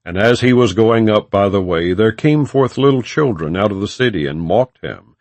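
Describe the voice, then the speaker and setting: Low-voiced man reading scripture in rolling cadence. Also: animated, a little mellow, dry recording. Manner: solemn, measured reading with archaic diction. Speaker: audiobook reader. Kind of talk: scripted Bible narration, non-dramatized.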